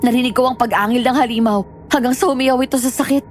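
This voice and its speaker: A woman's voice: a little high, steady tone, fast, a little hiss or hum, lightly acted. An actress playing a young woman who narrates her own frightening ordeal in a first-person horror story. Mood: tense recounting. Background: music.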